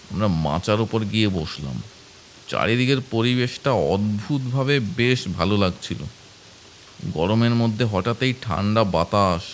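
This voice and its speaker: Low-voiced male horror story narrator. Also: a little hiss or hum. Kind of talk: scripted first-person horror story narration.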